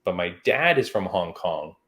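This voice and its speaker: A man from Canada hosting a podcast, talking in a relaxed, chatty way. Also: sits low, normal speed. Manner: relaxed, chatty, frequent "like" and "I mean". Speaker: podcast host. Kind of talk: casual podcast chat.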